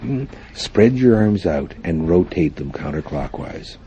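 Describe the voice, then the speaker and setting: Very deep-voiced mellow man guiding meditation. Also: lively intonation, dull audio, slight background noise. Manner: formal, deliberate phrasing punctuated by frequent hums. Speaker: guided meditation leader. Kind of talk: guided meditation instruction before a live group.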